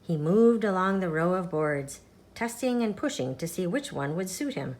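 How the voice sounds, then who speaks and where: Lower-voiced woman reading a children's story. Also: lively intonation, moderate pace, darkish tone. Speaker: storytime channel reader. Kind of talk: expressive children's picture book read-aloud.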